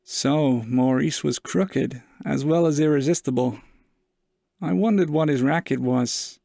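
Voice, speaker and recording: An actor playing a world-weary, wry 1940s private investigator in a hardboiled noir audio drama, deadpan and measured. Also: male voice, fairly high pitch, creaky, dry recording, clean studio sound. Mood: brooding, wry. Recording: saxophone music under the voice.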